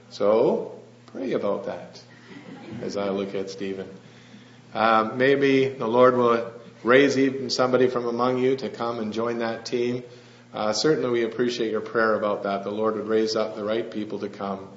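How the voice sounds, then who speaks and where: A soft-spoken missionary preacher giving an earnest sermon, pausing often with "uh". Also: male voice, medium pitch. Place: church hall. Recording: roomy lo-fi church recording with faint hum.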